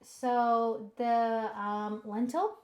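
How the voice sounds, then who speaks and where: A woman's voice: a little high, level tone, extremely slow, darkish tone. A woman with an Indonesian accent co-hosting a cooking stream, chatting casually and reading viewer comments aloud with fillers and laughs.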